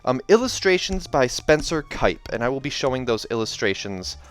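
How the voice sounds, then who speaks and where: A man's voice: mid-range voice, sing-song, normal speed. A man hosting in a casual, chatty, rambling way that often corrects itself, as he talks through and reads aloud a book. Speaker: host of a reading series. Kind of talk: casual video commentary and read-aloud. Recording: music behind the voice. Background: soundtrack music.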